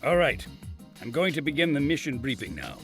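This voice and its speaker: Older section chief, stern and formal, slightly high voice, faint music. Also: male voice, sing-song, a little fast. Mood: businesslike. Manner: formal, pointed. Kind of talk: English anime game dub acting.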